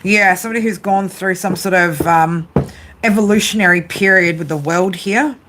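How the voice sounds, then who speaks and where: Low-voiced, chatty tarot reader woman. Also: moderate pace, noisy background. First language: English. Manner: casual, chatty, swears freely. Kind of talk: live tarot reading.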